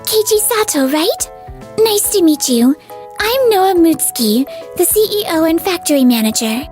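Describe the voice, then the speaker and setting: Woman acting very high, earnest young manager. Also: expressive, normal speed, darkish tone, faint music behind. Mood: polite. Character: earnest, anxious young female factory manager. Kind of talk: scripted manga dub acting.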